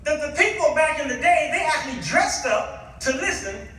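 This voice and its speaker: A man's voice: extremely high pitch, lively intonation, normal speed, pushed and bright. A middle-aged American man preaching nostalgically to a congregation, theatrical and exclamatory, leaning on rhetorical questions. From Chicago, United States. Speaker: guest preacher. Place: church hall, preaching to a congregation. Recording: echoey hall, distant microphone.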